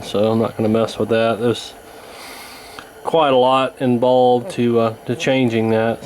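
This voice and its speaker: A man giving a casual hands-on repair walkthrough, talking in an unhurried, folksy way while he works. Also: medium pitch, a little mellow, a little hiss or hum, low-quality recording. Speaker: host of a radio repair channel.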